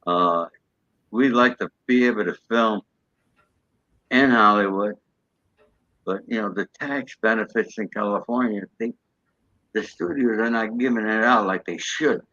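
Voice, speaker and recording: A man's voice: on the low side, unhurried, pauses often, pushed and bright, dry recording. A man guest in a casual interview, matter-of-fact and peppering his talk with "you know". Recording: dry, dull lo-fi audio.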